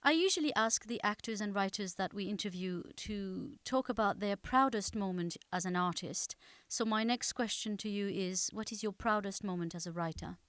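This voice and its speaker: A woman's voice: medium pitch, normal speed, few pauses, fairly bright tone, no room sound. A woman interviewer from a theatre company asking prepared questions. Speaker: interviewer, theatre artistic director.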